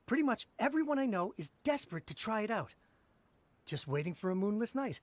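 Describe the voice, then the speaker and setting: A man voicing an eager, gossipy townsman in a video game, earnest and husky. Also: high voice, sing-song, a little fast. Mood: eager gossip. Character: eager, gossipy, credulous townsman spreading supernatural rumors.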